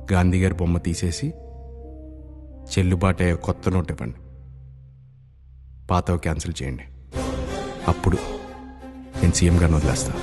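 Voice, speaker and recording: An actor playing a calm, sardonic kidnapper in a film drama, reasoning with deadpan, mock-polite courtesy. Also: male voice, sits low, lightly acted. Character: calm, sardonic male everyman kidnapper making demands. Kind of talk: Indian film drama dialogue. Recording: film soundtrack with faint music.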